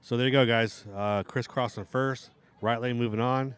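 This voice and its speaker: A middle-aged man with a hoarse voice, casually commentating a live outdoor RC drag race. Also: mid-range voice, fairly even intonation, moderate pace. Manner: casual, rambling banter with restarts.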